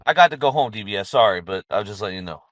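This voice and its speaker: A man's voice: on the low side, lively intonation, fast talker, fairly bright tone, no room sound. A man chatting casually as a commentator on a talk show. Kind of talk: casual chat.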